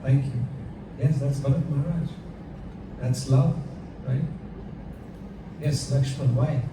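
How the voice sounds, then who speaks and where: A man's voice: mid-range voice, steady tone, slow pace. A man leading a devotional group discussion, asking questions and laughing often, recorded from far off in an echoey room. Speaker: discussion leader. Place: room at a home gathering. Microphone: far from mic. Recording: echoey room, far mic, background noise.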